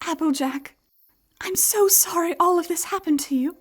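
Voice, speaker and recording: A woman's voice: extremely high pitch, moderate pace, soft background music. A woman voicing a refined young female unicorn, genteel, soothing and skeptical, in a dramatized horror story. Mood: gentle consoling. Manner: genteel, coaxing.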